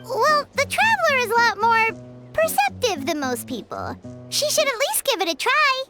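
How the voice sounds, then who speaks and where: A tiny, spirited video game sidekick, extremely high and squeaky. The delivery is plucky and blunt, bouncing up and down in pitch over clear music. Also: female voice, very animated, normal speed. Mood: upbeat, helpful. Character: tiny, spirited, cheeky childlike companion creature. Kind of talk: video game character acting. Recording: music behind the voice.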